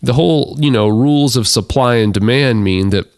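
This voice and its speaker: A man hosting a podcast, hoarse from allergies, rambling and self-deprecating with many restarts. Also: medium pitch, normal speed, darkish tone, no room sound, clean studio sound. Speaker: podcast host. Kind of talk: casual solo podcast talk.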